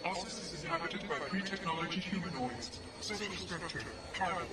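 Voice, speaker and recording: Echoey, high-pitched ship's computer. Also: male voice, brisk. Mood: impassive. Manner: flat, clipped readout. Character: impassive ship's computer reading out planetary data. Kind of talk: audio drama acting. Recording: echoey, distant, loud background noise.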